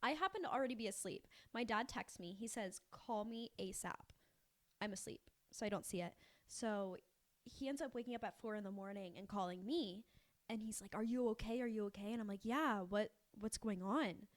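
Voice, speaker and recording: A woman's voice: medium pitch, a little fast. A young woman co-hosting a podcast, telling a story casually and acting out the dialogue as she goes. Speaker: podcast co-host.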